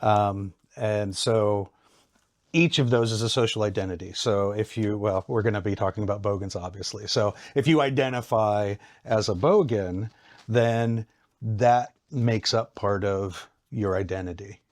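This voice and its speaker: A middle-aged man with an American accent explains ideas like a lecturer, in a lowish voice, over a video call. He talks in a measured, hesitant way, with frequent ums and restarts. His delivery stays calm and steady. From Ohio, United States. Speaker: psychology lecturer, guest. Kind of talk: explanatory academic talk.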